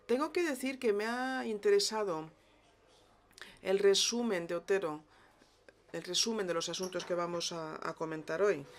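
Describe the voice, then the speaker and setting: A woman works as a live interpreter of a conference speech. Her voice is a little low for a woman, and she speaks in halting stretches, phrase by phrase.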